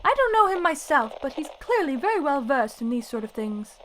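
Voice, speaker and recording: A woman acts out an eager gossip phoning in to a radio drama's talk show. Her voice is high and very animated, breezy and earnest. A faint noise sits on the call. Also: brisk, poor audio. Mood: eager, gossipy. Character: chatty, gossip-loving female caller to a radio show.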